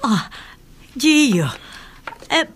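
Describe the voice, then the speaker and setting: An actress playing a concerned, gentle older family confidante in a Tagalog radio drama, hesitant. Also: female voice, sits high, sing-song, very slow, slight background noise, exaggerated voice.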